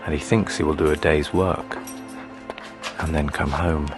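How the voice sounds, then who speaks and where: Super deep male narrator, grave n ominous storyteller, music loud under him. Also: steady tone, unhurried. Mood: grave, foreboding. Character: grave, fatalistic male storyteller looking back. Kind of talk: dramatic scripted narration.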